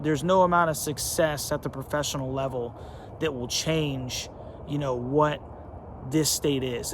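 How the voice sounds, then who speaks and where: Casual male host from North Carolina, emphatic storyteller, a touch higher than average; light street noise in the recording. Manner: conversational, many fillers, emphatic. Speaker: sports channel host. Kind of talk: casual monologue.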